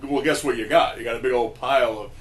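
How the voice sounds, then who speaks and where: A man's voice: mid-range voice, fast, low-quality recording. A man speaking informally and giving his opinions as a panelist in a casual discussion. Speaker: podcast panelist.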